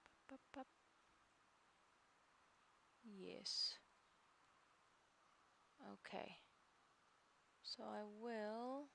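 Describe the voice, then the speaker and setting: A woman's voice: fairly high pitch, extremely slow, gravelly, pauses often, pushed and bright, slight background noise, rough recording. A woman hosting a casual live stream, chatting hesitantly with viewers and laughing often. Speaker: livestream host studying along.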